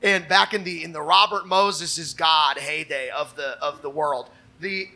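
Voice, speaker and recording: A man hosts a comedy show live on stage. His voice sits a little high and is bright and punchy. He talks sarcastically, doubling back on words as he builds his commentary. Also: creaky, slight background noise. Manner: sarcastic, halting with stammered repeats. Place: on stage before a live audience.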